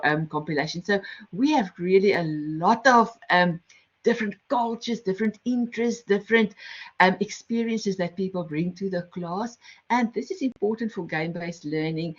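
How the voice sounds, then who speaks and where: Middle-aged South African woman facilitator on a muffled video call, friendly and explanatory. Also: medium pitch. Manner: friendly, explanatory, with frequent ums and restarts. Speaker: workshop facilitator. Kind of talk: online workshop presentation. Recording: slightly dull online call audio.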